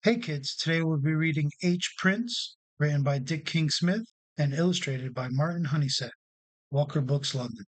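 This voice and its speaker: A man's voice: a little high, normal speed, low-quality recording. A man narrating a children's storybook as a read-along storyteller.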